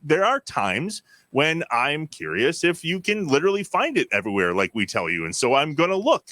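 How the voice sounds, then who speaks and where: Lively, bright-voiced man hosting sports talk. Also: a little high, moderate pace, dry recording. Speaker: podcast host. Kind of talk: casual sports talk with sponsor reads.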